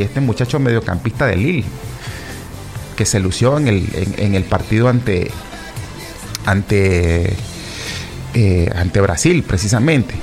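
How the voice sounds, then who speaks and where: Gravelly man riffing on football. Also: mid-range voice. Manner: rambling, repeats himself, many fillers and restarts; addresses listeners as "chicos". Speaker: football commentator. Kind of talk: casual opinion talk.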